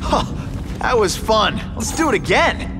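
An actor playing a young, wisecracking costumed superhero in a video game, quippy, playful and cocky. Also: male voice, high voice, very animated, unhurried, full character voice. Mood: cocky, joking. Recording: loud game music and effects behind.

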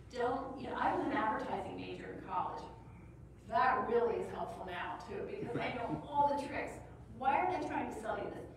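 A chatty, blunt woman in her sixties gives practical advice to a room of listeners. She is picked up from a distance. The conference room is very echoey, with steady noise behind her. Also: a little high. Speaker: speaker on decluttering. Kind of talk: casual talk to a live audience. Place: conference room, standing before the audience. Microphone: far room mic.

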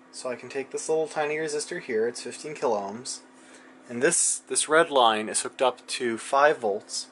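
Casual man thinking aloud, some background noise. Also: mid-range voice. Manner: informal, musing to himself while working. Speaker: electronics hobbyist narrating his build. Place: cluttered indoor electronics workbench.

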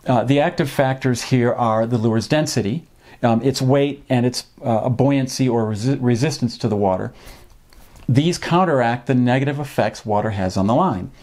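Middle-aged man explaining in measured phrases. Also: medium pitch, darkish tone. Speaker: fishing instructor and presenter. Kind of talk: informal instructional talk to camera. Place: small home office, indoors.

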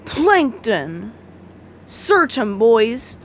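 A woman's voice: a little high, very animated, drawn-out words, voice pushed down, heavily acted, rough recording. Woman doing a blustering greedy cartoon crab boss. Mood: accusing. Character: greedy, blustering older male crab restaurant owner. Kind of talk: amateur comic dub, cartoon voice acting.

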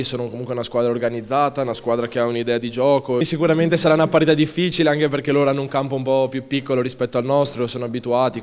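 A man's voice: medium pitch, clear, resonant tone. Young man in a reverberant corridor. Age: young adult. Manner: fast, restless, repeats words. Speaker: football defender. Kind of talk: post-match interview. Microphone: handheld interviewer mic. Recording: long hallway reverb.

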